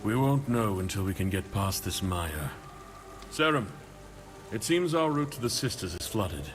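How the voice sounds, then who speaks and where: An actor playing a burdened, diplomatic male leader in a fantasy game, speaking in a measured, formal and solemn way. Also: fairly low pitch, sing-song, big-room sound, faint music behind. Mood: earnest, authoritative. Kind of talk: fantasy video game dialogue.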